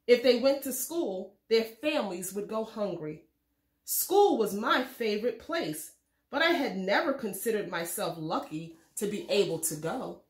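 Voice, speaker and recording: A woman reading a children's storybook aloud in a measured, expressive way. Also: medium pitch, roomy sound. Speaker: read-aloud host reading a children's book. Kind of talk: scripted storybook narration for children.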